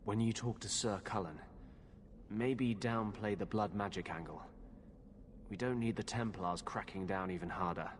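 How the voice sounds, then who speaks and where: An actor playing a pragmatic companion in a fantasy video game, speaking in a dry, wry way. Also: male voice, fairly low pitch, normal speed, a little hiss or hum, lightly acted. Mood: matter-of-fact. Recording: music behind.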